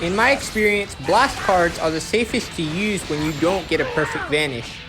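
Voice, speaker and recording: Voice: medium pitch, moderate pace. A game guide narrator explaining tactics step by step in an instructional way. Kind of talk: gameplay guide commentary. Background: music.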